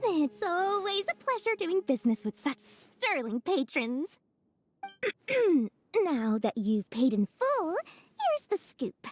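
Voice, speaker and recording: High-voiced, sly little merchant girl. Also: sing-song, faint music behind, full character voice. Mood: sly, coy. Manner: sing-song salesmanship, drawn-out words, coy. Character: small, sly, greedy young female merchant, theatrically polite. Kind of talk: video game character acting.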